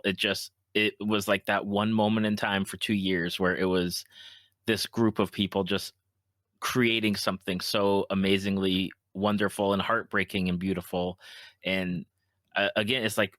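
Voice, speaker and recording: Male podcast host, middle-aged, lowish pitch, dry close sound, conversational and reflective. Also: fairly bright tone, no echo. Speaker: podcast interviewer. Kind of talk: casual interview conversation.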